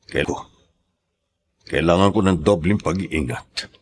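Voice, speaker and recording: A man's voice: sits low, a little slow, slightly rough. A man with a Filipino accent playing a scheming, hot-tempered politician in a radio drama, emphatic and theatrical. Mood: brooding, wary.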